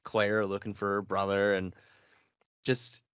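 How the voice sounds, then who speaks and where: A man in his thirties with a fairly low voice chats informally as a podcast co-host. He is recorded close and dry on a headset, with a slightly muffled, lo-fi tone. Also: level tone, measured pace, fairly bright tone, smooth, no room sound. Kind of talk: casual gaming podcast chat. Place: small home room. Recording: dull, lo-fi dry audio.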